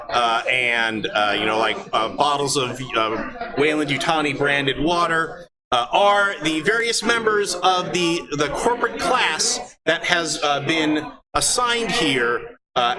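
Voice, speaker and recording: Man, game master, fairly high voice, halting improvised narration, many uhs, live convention with loud crowd. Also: low-quality recording. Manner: halting, with frequent drawn-out uhs. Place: live show at a gaming convention.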